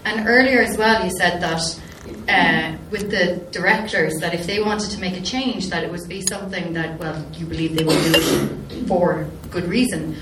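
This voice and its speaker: A woman's voice: fairly low pitch, fairly even intonation, moderate pace. A woman asking a thoughtful question from the audience, hesitant at first. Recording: echoey hall, distant mic, loud background noise.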